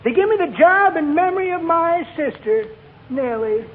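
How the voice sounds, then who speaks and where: An actor playing a folksy, eccentric old-timer with a rustic way of talking in 1940s radio comedy. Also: male voice, very high voice, lively intonation, normal speed. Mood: wistful, folksy. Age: older. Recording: tinny old radio recording, noisy audience.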